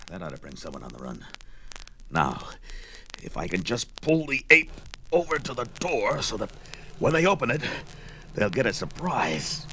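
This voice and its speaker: An actor playing a bold, defiant barbarian prisoner in a 1970s audio drama, talking dramatically and thinking aloud. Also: male voice, low voice, bright, forceful tone, slight background noise, heavily acted, low-quality recording. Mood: grimly triumphant. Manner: dramatic, declamatory. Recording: vintage record drama with music behind.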